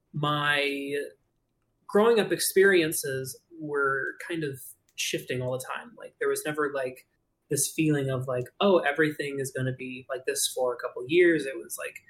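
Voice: mid-range voice, steady tone, a little slow, rough recording. A young adult podcast guest who tells a personal story in a playful, chatty way.

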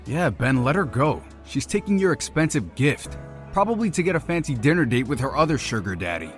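A man's voice: on the high side, sing-song, fairly quick, soft background music. A man playing a blunt, teasing young best friend in an audio drama, sarcastic in manner. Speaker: voice actor.

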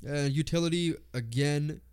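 Congested man in clear, casual sports chat. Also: on the high side, moderate pace, darkish tone. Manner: informal, swears casually. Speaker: sports podcast co-host. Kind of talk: casual sports chat, reading lists and predictions.

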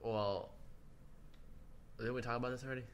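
A man's voice: mid-range voice, steady tone, moderate pace. A man in his twenties co-hosting a casual podcast, slangy and teasing, with some swearing. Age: 20s. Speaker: podcast co-host. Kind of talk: casual chat. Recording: clear background noise.

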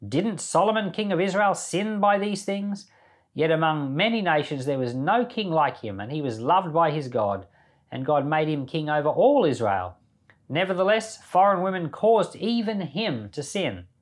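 A man's voice: fairly high pitch, expressive, moderate pace. A man hosting a Bible podcast, reading scripture expressively and with emphasis, then commenting on it informally. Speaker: Bible podcast host and reader.

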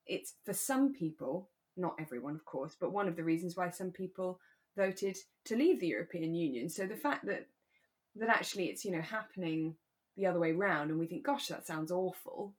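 A woman co-hosting a podcast, talking through a radio drama in a relaxed, conversational way. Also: on the low side. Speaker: podcast co-host.

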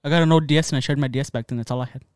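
A young man streaming casual chat, teasing his friend with jokes and loud swings in volume. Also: medium pitch, steady tone, racing, clean tone, no echo, studio quality.

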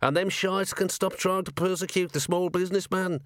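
A man's voice: sits high, steady tone, moderate pace, fairly bright tone, dry recording, clean studio sound, pitched above the performer's own voice. Wee Mad Arthur, a man doing a tiny brawling gnome. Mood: angry. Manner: gruff, rough slang, threatening. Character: tiny tough male gnome rat-catcher, belligerent.

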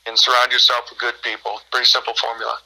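Bright-voiced, even-toned American man in his fifties. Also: medium pitch, fast talker, vocal fry, poor audio. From Michigan, United States. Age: 50s. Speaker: baseball team owner and coach. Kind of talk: conversational interview answers.

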